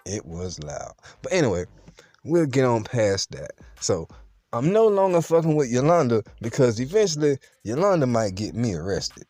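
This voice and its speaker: Animated slangy man telling a story. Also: medium pitch, moderate pace, no room sound. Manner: animated, slangy, talks straight to the viewer. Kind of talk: casual storytime monologue.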